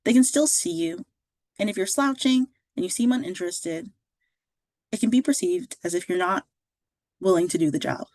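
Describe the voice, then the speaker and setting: A woman presents scripted career guidance in a mid-pitched voice. Her delivery is measured and instructive, like narration for a training slideshow. The recording is close and dry, with very little room sound. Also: expressive, vocal fry, darkish tone, no echo.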